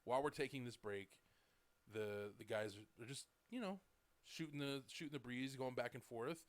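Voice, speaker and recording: A man's voice: mid-range voice, normal speed, no echo. A man in his late thirties hosting a podcast, telling stories in a loose, rambling way full of "like" and "dude". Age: late 30s. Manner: rambling storyteller, lots of "like" and "dude". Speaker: podcast host. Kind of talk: casual podcast chat.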